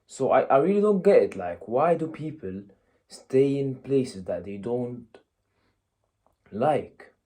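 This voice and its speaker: A man's voice: medium pitch, darkish tone. A young man from Egypt giving a blunt, casual motivational talk, peppering it with "you know" and "yeah?". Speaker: motivational vlogger.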